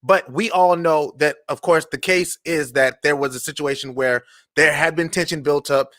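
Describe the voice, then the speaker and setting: An American man hosting a casual live interview, speaking haltingly and repeating words as he thinks. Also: on the high side, steady tone, pushed and bright. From Charleston, United States. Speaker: talk show host.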